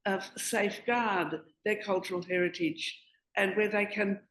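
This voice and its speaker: Panelist, woman, earnest and self-correcting, mid pitch, roomy sound. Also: steady tone, a little slow, a bit bright. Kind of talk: panel discussion.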